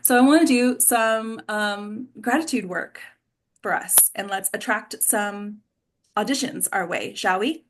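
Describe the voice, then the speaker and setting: A woman's voice: medium pitch, measured pace, background noise. A woman casually hosting an online group of actors as their coach, informal and encouraging as she guides them over a video call. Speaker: host and acting coach. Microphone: computer mic on a video call.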